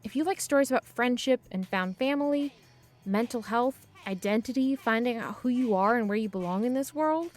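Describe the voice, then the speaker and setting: A woman's voice: fairly high pitch, close to an everyday voice. A woman playing a cheerful, optimistic young non-binary half-elf half-demon, chattily narrating a podcast promo. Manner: upbeat, chatty. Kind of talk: first-person scripted podcast promo. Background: music.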